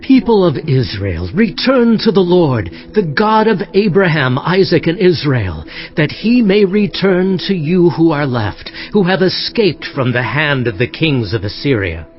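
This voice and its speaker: An earnest king of scripture played by a man makes a solemn, exhorting proclamation in an audio drama. His fairly high male voice rises and falls in lively, declamatory sweeps. The sound is slightly muffled. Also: measured pace, hardly any pauses. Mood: urgent exhortation. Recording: slightly muffled, faint music underneath.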